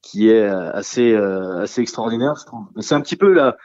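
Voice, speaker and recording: A man from a rock trio talks through a radio interview, stopping often for fillers and starting his sentences over. The sound is dry, with the highs a little muffled. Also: animated, fairly quick, a little mellow, dry recording. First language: French. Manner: hesitant, many fillers and restarts. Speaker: singer-guitarist of a rock trio. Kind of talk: casual radio interview answers.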